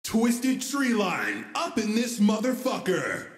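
A man acts out a brash, foul-mouthed announcer for a video game parody. The voice is high and very animated, stretching out each call slowly. It rings with swagger. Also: full character voice. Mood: brash hype. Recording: echoey hall-like reverb from game clip.

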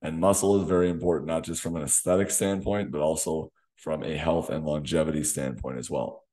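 A man in his thirties, a fitness coach hosting a solo podcast, slightly hoarse from losing his voice, talking in a direct coaching way in a small home gym on a desk mic. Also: extremely low pitch, steady tone, brisk, mellow. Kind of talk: solo podcast talk to camera. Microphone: desk condenser mic in front of him.

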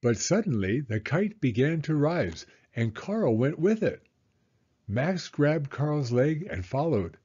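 Unhurried, animated male storybook reader. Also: on the high side, slightly rough, highs cut off. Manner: expressive, deliberate phrasing for children. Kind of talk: scripted storybook read-aloud narration.

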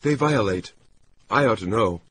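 A man's voice: lowish voice, expressive, a bit bright, a little hiss or hum, pitched above the performer's own voice. A man voicing a distraught, dazed woman who speaks in disjointed riddles, in a dramatic audiobook reading. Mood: eerily detached. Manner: slow, painstaking, disjointed speech.

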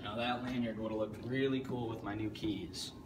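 A young man playing a self-absorbed high school student in an amateur comedy skit, talking in a casual, deadpan way. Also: moderate pace, close to an everyday voice. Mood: coaxing. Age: young adult. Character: self-absorbed high school student torn between bickering inner voices. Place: school stairwell, hallway and gym. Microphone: camera mic at a distance. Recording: echoey school halls, distant mic, loud room noise.

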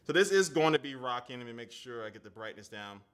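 A man in his thirties hosts a relaxed tech live stream from a desk studio at home. His voice is bright and forward, with a mid-range pitch. He talks loosely, fills his speech with "like" and "man", and answers chat as he goes. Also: quick pace, smooth. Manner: chatty, loose, lots of "like" and "man", reads viewer comments aloud. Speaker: tech reviewer.

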